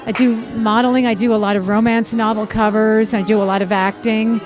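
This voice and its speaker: Measured woman, loud music behind. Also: on the high side, flat delivery. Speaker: model and actress. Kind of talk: casual interview answers.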